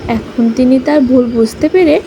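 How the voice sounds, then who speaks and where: High-pitched woman telling a children's story. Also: measured pace, noisy background. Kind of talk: scripted story narration for young children.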